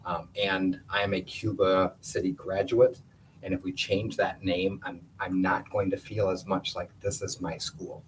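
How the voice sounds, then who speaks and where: A man's voice: low voice, little pitch movement, a little slow. A man giving a plainspoken, conversational report at a school board meeting in a meeting room. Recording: distant room mic with faint hiss.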